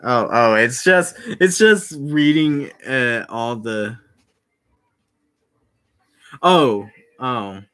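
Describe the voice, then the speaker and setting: A gaming host with a bright, forward voice and a very slow pace reads a visual novel aloud. The delivery is theatrical and halting, with words repeated, in a casual let's play style. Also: medium pitch, expressive. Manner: theatrical, puts on voices while reading.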